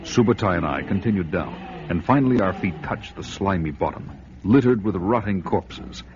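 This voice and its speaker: Low-pitched barbarian hero narrator. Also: male voice, lively intonation, normal speed, background noise. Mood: ominous, awed. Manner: grandiose, storytelling. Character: bold barbarian hero recounting his own adventure. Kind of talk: dramatic first-person radio narration. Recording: dull, band-limited radio recording, music behind.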